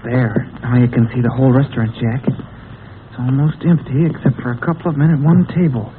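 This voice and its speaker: A man's voice: mid-range voice, a little fast, natural voice. An actor playing an eager, jumpy teenage boy who says gee-whiz things, in a 1940s radio serial on a tinny, hissy old recording. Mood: hushed. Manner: excitable, gee-whiz exclamations.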